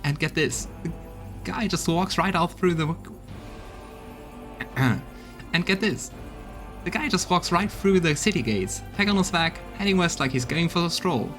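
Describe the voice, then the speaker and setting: Man playing a rough-tongued crusade soldier, fantasy game, folksy, blunt, gossipy, slightly high voice, soft music. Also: expressive, unhurried, pauses often. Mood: gossipy, exasperated. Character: rough-tongued loyal crusade soldier reporting bad news.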